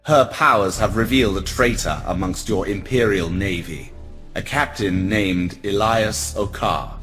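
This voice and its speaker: A proud alien prince in a game cutscene. His voice sits on the low side, and he speaks with measured, lofty formality. Faint music runs underneath. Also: a bit bright. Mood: grave warning. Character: proud, aloof male alien elf prince. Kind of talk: video game cutscene acting.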